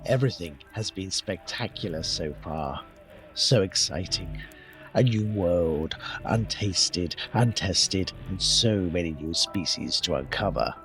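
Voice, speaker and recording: Gushing, low-voiced wealthy adventurer. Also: male voice, expressive, unhurried, fairly bright tone, faint music behind, full character voice, poor audio. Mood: awed, effusive. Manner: effusive, florid, savoring words. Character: gushing wealthy retired executive, eager first-time adventurer. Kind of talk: audio drama acting.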